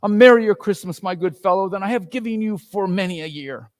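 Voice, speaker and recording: A man's voice: sits high, a little fast, no echo, clean studio sound, voice pushed up, close to an everyday voice. A man playing an elderly reformed miser, exuberant and exclamatory, in a theatrical reading of a Victorian story. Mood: hearty generosity. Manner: exclamatory, exuberant, chuckling old gentleman. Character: elderly miser, newly reformed, giddy with joy.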